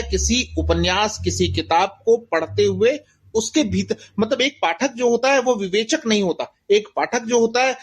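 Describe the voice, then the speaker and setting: A middle-aged man with an Indian accent speaks in a high-pitched voice. He gives reflective interview answers as an author, earnest and rhetorical, repeating phrases for emphasis. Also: slightly rough. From Bastar, India. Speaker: guest author.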